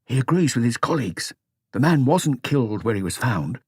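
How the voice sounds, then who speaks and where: A man's voice: medium pitch, big pitch swings, moderate pace, breathy edge, no echo, studio quality, voice pushed up. A man voicing an upset, dutiful policeman in an audiobook, speaking hesitantly and deferentially to his superior. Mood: reporting. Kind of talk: audiobook character dialogue.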